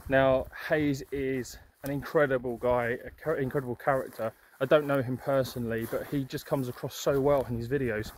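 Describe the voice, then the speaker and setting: A man's voice: medium pitch, fairly even intonation, moderate pace, hardly any pauses, slight background noise. A young man chatting casually and upbeat to a handheld camera while hiking on an open hillside. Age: young adult. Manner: chatty, upbeat, many fillers. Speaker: outdoor vlogger. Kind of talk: casual walking vlog. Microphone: handheld camera at arm's length.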